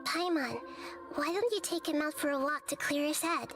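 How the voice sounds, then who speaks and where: A woman voicing a wise, gentle young girl deity in a video game, speaking softly in a measured, reassuring way. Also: high voice, lively intonation. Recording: game audio with music behind.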